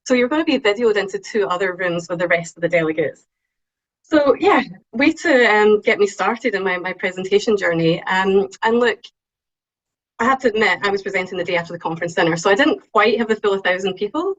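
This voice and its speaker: A woman with a strong Scottish accent giving an online talk, lively and excitable, with frequent ums. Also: mid-range voice, fairly quick, highs cut off. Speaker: invited speaker. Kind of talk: webinar talk on presenting.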